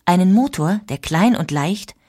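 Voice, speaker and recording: A woman's voice: fairly low pitch, animated, measured pace, mellow, no room sound, studio quality. A woman narrating a documentary audiobook with dramatic emphasis.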